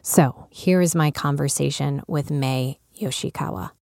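A woman's voice: low voice, unhurried, gravelly, darkish tone, no room sound, studio quality. A woman hosting a podcast, talking reflectively and earnestly, stressing points by repeating them. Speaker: podcast host. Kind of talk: reflective podcast intro and interview.

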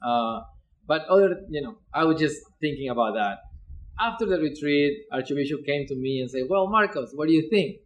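A man's voice: on the high side, animated, moderate pace, lo-fi sound. A young man from Ecuador with an Ecuadorian Spanish accent, a seminarian earnestly telling his vocation story with fillers and repeated words.

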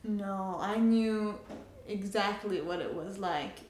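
A young woman, a native Farsi speaker who grew up in Canada and France, talks in a roomy, echoing space. She explains herself conversationally and articulately as an interviewee, at a medium pitch. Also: slow pace, a little mellow, a little hiss or hum. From Canada and France, Iranian family. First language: Persian (Farsi). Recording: echoey room.

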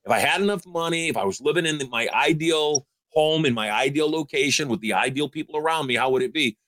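A man's voice: on the high side, fast talker, a bit bright, a little hiss or hum. A man in his sixties giving upbeat, emphatic advice as a show host. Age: 60s. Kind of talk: motivational call-in advice.

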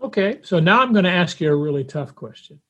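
A man in his seventies speaks as a podcast host. His voice is husky and a touch higher than average for a man. He talks conversationally, setting out his questions directly and deliberately. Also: expressive, lo-fi sound. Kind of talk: conversational interview.

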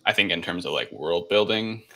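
A man's voice: low voice, expressive, moderate pace, gravelly, sharp tone. A man co-hosting a casual film review podcast, talking in a tentative, hedging way. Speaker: podcast co-host.

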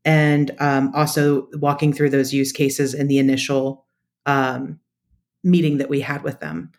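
A woman's voice: extremely low pitch, unhurried, a little mellow. A woman speaking as an interview guest, giving conversational, practical advice in a methodical, explanatory way. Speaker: podcast guest, data practitioner.

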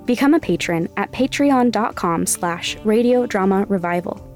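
A woman's voice: mid-range voice, animated, moderate pace, darkish tone, faint music behind. A woman hosting a podcast, chatting casually and reading her show's promo in an upbeat way. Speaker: podcast host. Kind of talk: casual interview and show promo.

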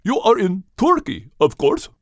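A man's voice: high voice, huge pitch swings, a little slow, no echo, studio quality, voice pushed up, full character voice. A man voicing pompous, smug turkeys with a superior manner for an animal storybook. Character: haughty, smug turkey couple, pompous bird.

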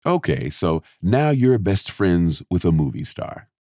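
A thoughtful, steady scientist, played by a male narrator, speaking in a low voice with calm reassurance. The delivery is measured and even, recorded dry and close. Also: no echo, full character voice.

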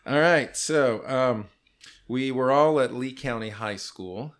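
A man in his forties hosts a casual podcast with a voice of ordinary pitch. He jokes around, laughs easily, and keeps the talk loose. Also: takes it slowly. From California. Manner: jokey, loose, laughs often. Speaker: podcast host.

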